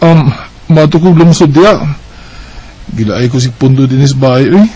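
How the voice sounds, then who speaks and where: A man's voice: mid-range voice, animated, normal speed, warm tone, slightly rough. A man with a Bisaya Filipino accent acting a restless, stubborn young village man in a radio drama. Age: young adult. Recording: faint background noise.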